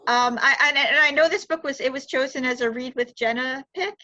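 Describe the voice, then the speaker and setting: A woman's voice: high voice, a little fast, pushed and bright. A woman hosting a library podcast in casual chat, enthusiastic and chatty, with frequent fillers. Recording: dull lo-fi audio.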